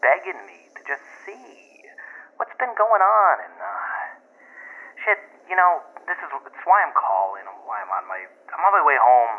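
A flailing young boyfriend leaves a muffled phone message. His voice is husky and earnest, rambling in halting, remorseful phrases. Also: medium pitch, big pitch swings. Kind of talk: found-footage audio drama acting.

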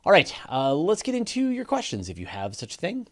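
A man's voice: a little high, huge pitch swings, brisk, fairly bright tone. A man with a Canadian accent hosting a livestream question show, explaining ideas step by step in a conversational way. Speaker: host of a space podcast. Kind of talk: livestream Q&A, explaining astronomy to viewers.

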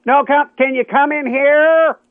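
A man's voice: extremely high pitch, steady tone, measured pace, phone-quality sound, slight background noise. A man playing a cranky, folksy husband in his nineties who curses as he phones in to a comedy radio show. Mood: exasperated. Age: 90s. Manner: folksy, cursing, easily exasperated. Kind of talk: comic phone-in character on radio.

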